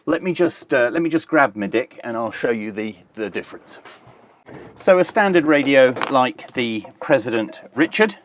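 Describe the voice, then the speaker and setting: A man's voice: medium pitch, slight background noise. A man presenting a casual hands-on product review, plain-spoken and methodical, with dry humour.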